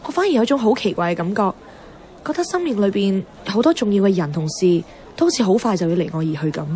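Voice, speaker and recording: An actress playing a self-deprecating, wistful young career woman in a Hong Kong Cantonese radio drama, expressive and colloquial with English words mixed in. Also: mid-range voice, quick pace, natural voice. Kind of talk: radio drama dialogue and monologue.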